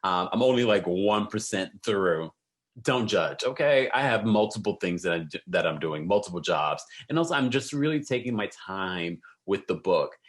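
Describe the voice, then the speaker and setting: A man's voice: sits low, animated, moderate pace. A man hosting an online video, chatting casually and conversationally straight to his viewers. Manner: conversational, addresses viewers directly.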